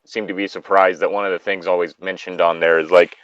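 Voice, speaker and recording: A man's voice: low voice, level tone, fast, fairly bright tone. A man co-hosting a story reading show, reading aloud and chatting casually with joking, wry asides. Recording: dry, slightly dull lo-fi mic.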